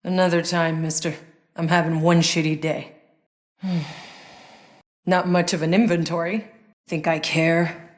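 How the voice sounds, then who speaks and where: Tough, low-voiced sardonic female mercenary. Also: level tone, slow, pauses often, dry recording. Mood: weary, sarcastic. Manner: dry, sarcastic, blunt. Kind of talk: video game character voice lines.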